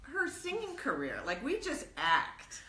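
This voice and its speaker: A woman's voice: sits high, wildly swooping pitch, measured pace, crowd noise. A woman hosting a casual live stream who gushes over her guest, teases playfully and reads out viewer comments. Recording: roomy.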